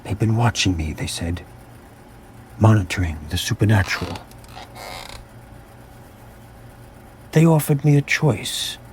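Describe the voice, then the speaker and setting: A man's voice: fairly low pitch, very animated, normal speed, slight background noise. A man playing a haunted, battle-hardened army captain, narrating occult horrors in a brooding, theatrical way with clipped dramatic phrases in an audio drama. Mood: grim, resolute. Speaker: voice actor.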